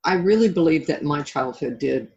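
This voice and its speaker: A woman's voice: low-pitched, lively intonation, normal speed, vocal fry, darkish tone, slightly rough, muffled recording. A middle-aged American woman from the South of the United States talking reflectively about her own life as an interview guest. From southern United States (Florida).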